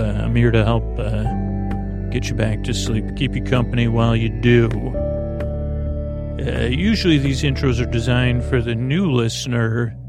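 Gravelly guy doing a rambly bedtime podcast, kinda goofy and reassuring, bit of music behind him. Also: mid-range voice. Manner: meandering, halting, goofy, reassuring. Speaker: podcast host.

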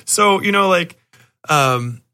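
A man with a higher-than-average, forceful and bright voice talks like a podcast host. His delivery is casual and rambling, animated and freely peppered with profanity. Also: slow. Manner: animated, swears freely, excitable.

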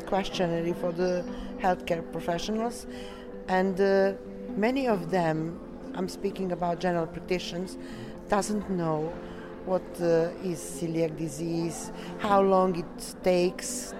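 A woman with a Serbian accent and a fairly low voice answers an interviewer. Her non-native English is halting as she searches for words. Clear music plays behind her. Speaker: coeliac association delegate being interviewed.

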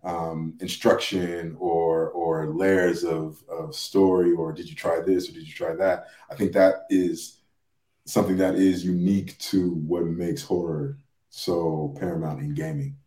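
A man in casual discussion on a podcast, thinking aloud in a rambling, halting way. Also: sits low, level tone, warm tone. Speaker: podcast panelist. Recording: roomy, echoing sound.

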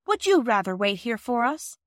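Woman doing a sensible older girl, firm, steady, slightly raised voice, storybook, dry sound. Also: a little high, moderate pace, no echo, voice pushed up. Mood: severe. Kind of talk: audiobook character voice.

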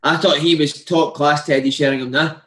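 A man's voice: fairly high pitch, fairly even intonation, normal speed, a bit bright. A man working as an interviewer, asking casual questions about football, recorded with a roomy, echoey sound.

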